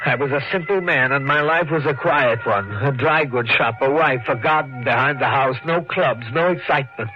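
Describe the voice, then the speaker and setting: An actor playing a meek, guilt-haunted shopkeeper in a radio mystery, brooding and halting as he speaks. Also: mid-range voice, lightly acted. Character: anxious, guilt-haunted shopkeeper husband, meek simple man. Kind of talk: 1940s radio mystery acting, first-person narration and dialogue. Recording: muffled vintage radio recording with loud music.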